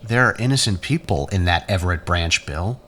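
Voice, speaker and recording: A man's voice: sits low, lively intonation, normal speed, fairly bright tone, slight background noise. A man playing a sardonic, morally challenging character in an audio drama, with a wry, pointed delivery. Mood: accusing.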